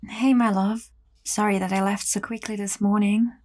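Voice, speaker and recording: A woman acting as a young female office worker in an audio drama, with a mid-pitched voice. She sounds jaded and carries resentment under her words. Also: little pitch movement, normal speed, a little mellow, lightly acted. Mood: tender, bitter.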